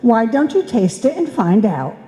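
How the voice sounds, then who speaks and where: A woman's voice: fairly high pitch, big pitch swings, moderate pace, mellow. A woman playing a nagging, fussing wife in a live old-time radio comedy, with theatrical scolding exclamations. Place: indoor stage before a live audience. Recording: live stage performance in reverberant hall.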